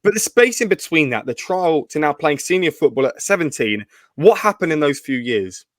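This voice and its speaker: A man's voice: on the high side, sing-song, moderate pace, no echo, clean studio sound. A man hosting an interview show, talkative, asking long framing questions.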